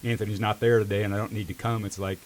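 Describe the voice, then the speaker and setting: A middle-aged American man speaks in a lowish voice. He gives casual interview answers as a volunteer mentor, reflective and halting, with repeated words as he finds his way. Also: level tone, a little hiss or hum.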